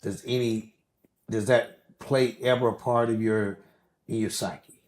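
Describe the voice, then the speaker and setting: A man's voice: on the low side, steady tone, a little slow, a little mellow. A man, a pastor, conducting a conversational church interview, putting questions in short phrases and echoing what his guest says. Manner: echoes and repeats the guest's words.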